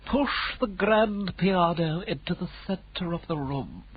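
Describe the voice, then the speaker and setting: A woman's voice: medium pitch, big pitch swings, takes it slowly, breathy edge, lo-fi sound, heavily acted. A woman doing a whispering stately butler. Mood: hushed. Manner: soft hushed whisper. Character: stately old butler man, never raises his voice.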